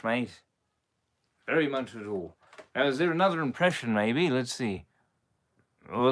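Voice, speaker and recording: A man doing live-stream shout-outs in a theatrical, playful pirate drawl with a put-on pirate English accent. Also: mid-range voice. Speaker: impressionist streamer.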